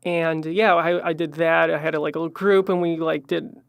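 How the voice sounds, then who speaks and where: A young man from Chicago with a high voice, rambling through his story with lots of fillers and little trailing-off phrases. His voice is higher than most men's and has a gravelly fry in it. He is recorded close up in a dry room with no echo. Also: little pitch movement, fairly quick. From Chicago, Illinois. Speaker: musician guest. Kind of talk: casual podcast interview.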